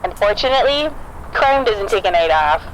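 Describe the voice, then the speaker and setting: A woman's voice: medium pitch, lively intonation, moderate pace, close to an everyday voice. A woman acting as a professional police dispatcher, speaking in clipped procedural phrases in an audio drama. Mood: calm, businesslike. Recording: noisy radio and vehicle ambience.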